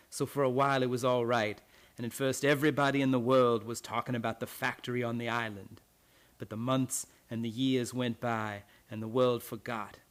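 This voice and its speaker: A man's voice: mid-range voice, close to an everyday voice. Man acting a grim, blunt island retainer. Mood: grimly storytelling. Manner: rustic, archaic phrasing with "aye" and "'twas". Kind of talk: radio play acting.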